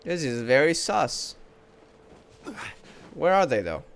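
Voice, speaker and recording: A man's voice: a little high, sing-song, slow pace, vocal fry, slight background noise. Man musing through a game playthrough. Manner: thinks aloud in short musing remarks. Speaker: game streamer. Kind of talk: casual playthrough commentary. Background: game music.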